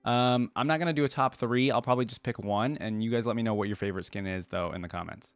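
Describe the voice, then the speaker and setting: A man's voice: mid-range voice, quick pace, clean tone, no echo. A man giving casual reaction commentary as host, thinking aloud with some hesitation. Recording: music playing behind the voice.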